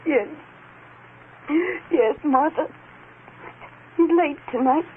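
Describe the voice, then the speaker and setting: A woman's voice: sits high, drawn-out words. An actress playing a tender, grieving mother in a sorrowful vintage radio drama style. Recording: old narrow-band radio, faint noise.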